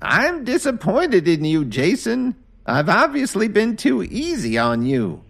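A man voicing a stern elderly grandmother who scolds her grandson, high and slow. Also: huge pitch swings, vocal fry, breathy edge, exaggerated voice.

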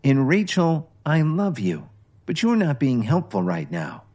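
A man's voice: fairly high pitch, huge pitch swings, unhurried, darkish tone, pitched above the performer's own voice, heavily acted. A man voicing a tired, earnest wife who speaks with firm seriousness. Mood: weary. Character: weary, earnest wife running a failing company.

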